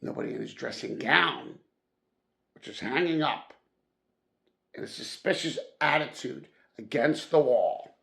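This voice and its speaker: A man reading a classic story aloud as a narrator, with deliberate, dramatic phrasing and emphatic stresses. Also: medium pitch, vocal fry, a bit bright. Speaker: audiobook-style reader.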